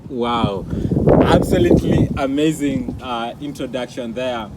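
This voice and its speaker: A man's voice: mid-range voice, a little slow, very noisy. A man hosting a vlog, presenting formally and interviewing his guests. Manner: formal, announcer-like delivery. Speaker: vlog host.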